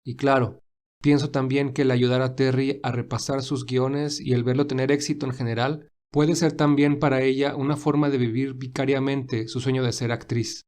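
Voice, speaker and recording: A man playing a gentle, caring gentleman in love in a dramatized romantic story, speaking in a sincere, measured, polite way. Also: mid-range voice, level tone, lightly acted. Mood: reassuring. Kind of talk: dramatized romantic fan fiction.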